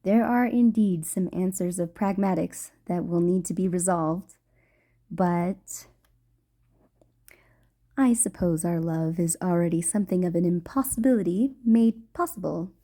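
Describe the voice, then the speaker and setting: Casting: female actor for tender ghost girlfriend, very mellow and slow, shy playfulness, formal halting speech, intimate audio roleplay. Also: on the low side. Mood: tender, playful. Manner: soft, hesitant, formal wording with halting stammers.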